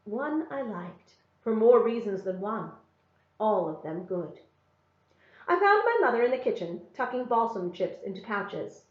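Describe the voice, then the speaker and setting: Female read-aloud narrator, expressive and measured, first-person fiction, roomy and slightly dull recording. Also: medium pitch.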